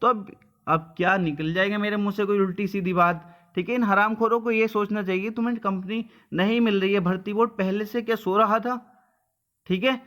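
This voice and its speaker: A man's voice: high-pitched, steady tone, fairly quick, fairly bright tone. A man giving an informal update to students as a coaching teacher, blunt and colloquial, often checking they follow with short tags.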